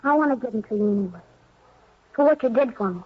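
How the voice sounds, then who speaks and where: An actor playing an earnest, grateful young boy in 1950s radio drama, with a husky American voice and halting, plain-spoken delivery. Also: medium pitch, animated. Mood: shy, hopeful. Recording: dull old radio recording.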